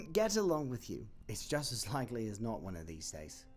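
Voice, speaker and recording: A man voicing a humble, grieving clerk and father who talks softly and tenderly, with halting restarts, in an audio drama. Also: lowish voice, wildly swooping pitch, faint music behind.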